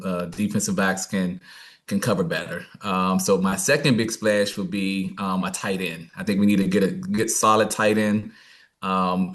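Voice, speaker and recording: Low-voiced man fan, hesitant. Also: fairly bright tone. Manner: hesitant, lots of ums and restarts. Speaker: caller. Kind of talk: casual fan opinion.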